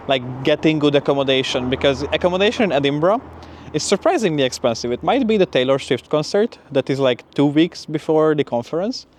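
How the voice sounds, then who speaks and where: A young man giving an informal, upbeat vlog update in a conversational way, as a student talking through his week. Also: fairly high pitch. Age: young adult. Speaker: PhD student vlogger. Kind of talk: casual spoken vlog update.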